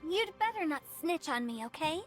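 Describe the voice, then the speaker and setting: A woman's voice: extremely high pitch, big pitch swings, normal speed. An actress voicing a bubbly, sheepish young female writer in a video game. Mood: sheepish, playful. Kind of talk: English video game voice acting. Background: music.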